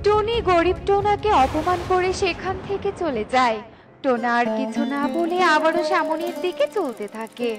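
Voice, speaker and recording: A woman's voice: very high-pitched, animated, normal speed. A woman giving scripted narration for a children's cartoon story, with music behind her.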